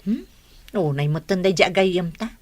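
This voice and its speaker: A woman's voice: on the low side, normal speed, close to an everyday voice. A woman acting a nosy young friend who gossips, in an Ilocano Filipino radio drama. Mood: curious, concerned.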